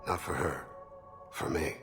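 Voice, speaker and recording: A solemn, devout alien assassin from a video game, with an extremely deep and gravelly voice. He speaks in formal, contemplative phrases in a long reverberant space, with ambient music underneath. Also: measured pace, heavily acted. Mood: solemn, calm.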